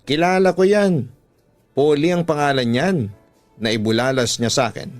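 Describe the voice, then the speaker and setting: A man's voice: on the high side, normal speed. A man with a Filipino accent narrating a dramatic first-person story as a radio drama storyteller. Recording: music bed under the voice.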